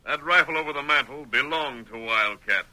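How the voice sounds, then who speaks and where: An actor playing a stern, self-assured hero in old-time radio style. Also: male voice, medium pitch, a little fast, sharp tone, rough recording. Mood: firm, confident. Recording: vintage radio drama recording.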